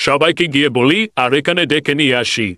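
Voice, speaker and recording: Man voicing a brisk, high-ish cartoon animal boss. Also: heavy background noise, natural voice. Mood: commanding. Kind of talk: children's cartoon dub.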